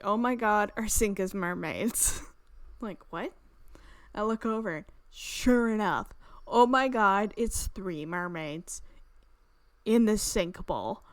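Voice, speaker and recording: A woman's voice: mid-range voice, takes it slowly, clean tone, dry recording. A woman game streamer reading visual novel narration aloud, breaking off into chatty, exclamatory asides. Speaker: gaming channel host. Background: game music.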